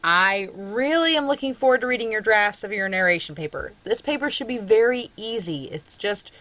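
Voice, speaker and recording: A woman's voice: medium pitch, lively intonation, normal speed, pushed and bright. A woman with a Southern US accent, a college English instructor giving a conversational recorded lecture with frequent ums. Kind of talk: recorded lecture with screen share.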